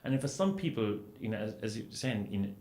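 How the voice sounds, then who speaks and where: A man speaking on a writers' panel, animated and storytelling, acting out quoted remarks. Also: lowish voice, quick pace. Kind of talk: panel discussion. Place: event hall with audience. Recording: echoey room.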